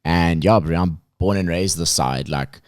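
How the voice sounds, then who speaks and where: A man's voice: sits low, a little slow, dry recording, studio quality. A young man with a South African accent, chatting as a podcast guest in a laid-back, slangy way and laughing easily. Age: young adult. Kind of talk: casual chat.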